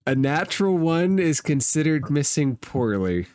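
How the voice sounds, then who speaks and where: A man's voice: on the high side, big pitch swings, unhurried, darkish tone, clean tone, no room sound, studio quality. A man acting as game master in a tabletop role-playing game, giving rulings in a matter-of-fact way.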